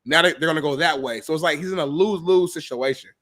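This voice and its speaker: A man's voice: a little high, quick pace, a bit bright. A man in his thirties hosting a casual sports talk show, opinionated and laughing often. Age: 30s. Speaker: host.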